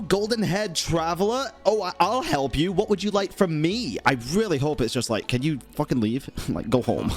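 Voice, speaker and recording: A man streaming casual game reactions with slangy, sweary banter. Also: on the high side.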